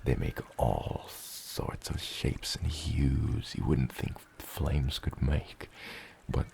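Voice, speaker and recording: A man voicing a huge, gentle father dragon in an ASMR roleplay, with a gravelly, breathy rumble and tender, eloquent phrasing. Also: lively intonation, heavily acted. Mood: wistful, soft. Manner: slow, tender, eloquent, slightly old-fashioned wording.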